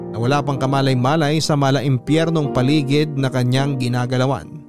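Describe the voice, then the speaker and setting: Man narrating a story over music. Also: on the high side. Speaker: narrator reading a listener's letter. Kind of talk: scripted first-person story narration for radio drama. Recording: music under the voice.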